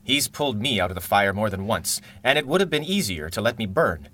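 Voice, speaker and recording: An urbane male villain of 1930s radio drama, with swooping intonation and a bright, sharp edge. He is wry, wordy and coldly calculating, savouring each theatrical phrase. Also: on the low side, very animated, fairly quick, exaggerated voice. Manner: wordy, pompous, dryly theatrical.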